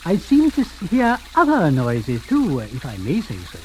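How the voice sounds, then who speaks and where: An actor playing a sly, deferential manservant with formal, coolly polite phrasing and measured hesitations, in 1940s radio drama style. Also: male voice, on the high side, huge pitch swings, a little hiss or hum, poor audio. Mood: slyly insinuating.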